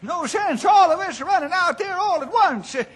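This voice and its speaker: A man playing a folksy, excitable old cowhand with a hesitant, stammering way of talking in a radio adventure. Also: very high-pitched, moderate pace. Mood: alarmed.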